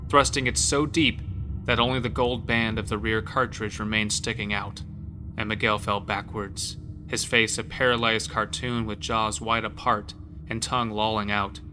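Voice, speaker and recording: A man narrating a scripted horror story in a dramatic, measured storytelling manner. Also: on the low side, flat delivery, over music.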